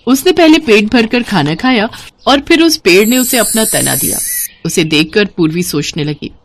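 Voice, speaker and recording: Medium-pitched woman narrating a story. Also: expressive, moderate pace, slight background noise. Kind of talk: scripted children's story narration.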